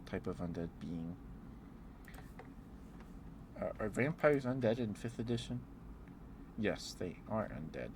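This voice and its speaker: Slow, low-voiced man rambling in ASMR style. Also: animated, background noise. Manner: playful, musing aloud with trailing thoughts. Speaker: ASMR livestreamer. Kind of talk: ASMR, casual rambling chat.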